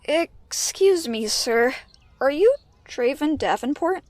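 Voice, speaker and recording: Woman acting as a high, lively pony princess. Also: slow pace, no room sound. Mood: polite. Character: kindly pony princess mentor and teenage alicorn princess. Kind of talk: audio drama acting.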